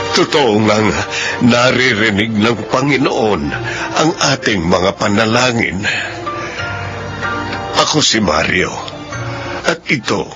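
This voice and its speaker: An actor with a Filipino accent playing a devout, grateful middle-aged brother in a radio drama. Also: male voice, fairly low pitch. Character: devout, grateful brother reunited with lost sister. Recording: radio drama with music behind.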